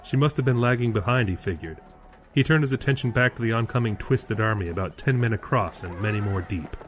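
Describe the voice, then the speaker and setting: A man's voice: lowish voice, brisk. Male story narrator over music. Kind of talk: scripted narration of a fantasy audio drama.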